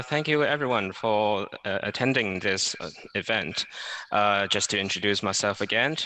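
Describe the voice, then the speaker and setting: A man moderates a fireside chat in a polite, formal way. His voice is bright and forceful but fairly low. The sound is close and dry. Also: dry recording. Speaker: panel moderator.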